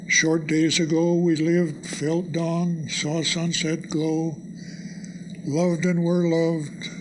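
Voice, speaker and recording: Slow, higher-voiced older man reciting. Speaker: audience member. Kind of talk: reciting a poem from memory. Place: live college lecture event. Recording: clearly noisy live event recording.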